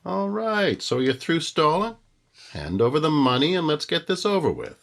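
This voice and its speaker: An actor playing a cocky, impatient small-time blackmailer in an old-time radio thriller, sarcastic and tough-talking. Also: male voice, a little high, wildly swooping pitch, moderate pace, gravelly, fairly bright tone, exaggerated voice. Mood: impatient, suspicious.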